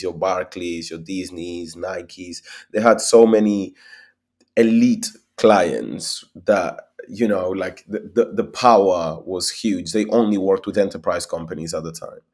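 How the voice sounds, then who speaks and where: A man's voice: deep voice, darkish tone. A young man from Spain, a company founder, talking at length in a video call interview and often repeating words as he thinks. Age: young adult. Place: small room at home, indoors. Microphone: laptop webcam mic on a video call.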